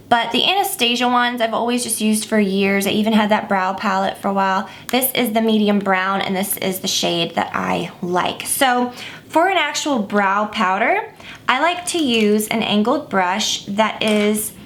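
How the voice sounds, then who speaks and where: A woman's voice: on the high side, a bit bright. A woman giving a casual makeup tutorial, chatty and rambling with many fillers, a bit stuffy and scratchy. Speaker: makeup vlogger.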